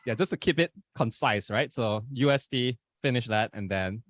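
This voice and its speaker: A man's voice: medium pitch, moderate pace, no echo, studio quality. A young man in his twenties from Malaysia with a Malaysian English accent, chatting as a podcast guest in an upbeat, rambling way. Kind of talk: casual podcast chat.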